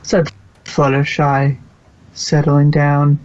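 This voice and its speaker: A man's voice: fairly high pitch, completely flat delivery, slow, lo-fi sound. A man reading scripted storybook narration for a fan fiction audio production.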